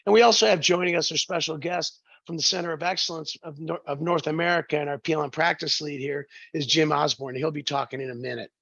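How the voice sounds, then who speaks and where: A man with a hoarse, froggy voice hosting a business webinar, halting with many fillers and restarts. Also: a little high, fairly even intonation, fairly bright tone, dry recording, lo-fi sound. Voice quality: gravelly. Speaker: webinar host, sales director. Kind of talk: webinar presentation.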